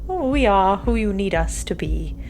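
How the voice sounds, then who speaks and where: An actress playing a cool, complacent vampire aristocrat in an audio drama. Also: female voice, mid-range voice, animated, moderate pace, vocal fry, a little mellow, soft background music.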